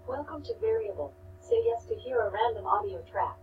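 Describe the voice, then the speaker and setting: A woman's voice: a little high, animated, brisk. Distant, muffled female voice assistant. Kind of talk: synthetic scripted voice prompts. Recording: muffled smart speaker across a noisy room.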